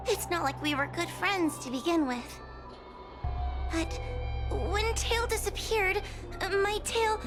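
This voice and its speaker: A woman's voice: extremely high pitch, full character voice. A woman voicing a timid, anxious young fox girl in a video game, hesitant and nervous.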